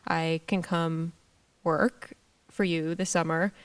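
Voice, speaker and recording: Casting: young woman from Hawaii, sophomore panelist, smooth clear voice, chatty and storytelling. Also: sits low, level tone, slow. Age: young adult. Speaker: student panelist. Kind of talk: panel introduction.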